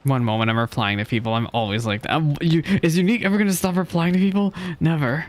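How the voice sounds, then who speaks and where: A man's voice: fairly high pitch, fast. A man streaming a game with casual, playful, slangy and exclamatory live commentary. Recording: game music faintly behind. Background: game music and dialogue.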